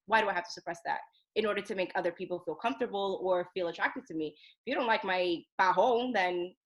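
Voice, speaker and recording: A woman talking on a podcast as a guest, an animated storyteller who laughs often and speeds up in bursts. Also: medium pitch, fast talker, poor audio. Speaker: podcast guest, youth journalism program director. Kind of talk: casual interview chat.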